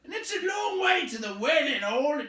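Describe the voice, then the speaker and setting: A man voicing a loud, quarrelsome rustic troll who bellows indignantly in rough colloquial speech, in a read-aloud fantasy story. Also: very high voice, big pitch swings, moderate pace, echoey room, recorded from a distance, pitched above the performer's own voice, exaggerated voice. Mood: loud, complaining.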